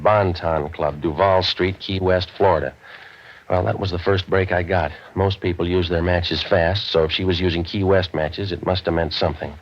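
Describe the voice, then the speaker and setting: Man playing a low-voiced hardboiled radio private eye. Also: natural voice. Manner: clipped, deadpan, slangy tough talk. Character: hardboiled, world-weary waterfront private eye, gruff and wisecracking. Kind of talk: 1940s radio noir acting with first-person narration. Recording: dull vintage radio recording.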